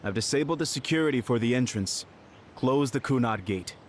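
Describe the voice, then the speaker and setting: An actor playing a cool, skeptical, proud young man in a video game, speaking in a measured, formal way. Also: mid-range voice, animated, slight background noise, lightly acted. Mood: somber resolve.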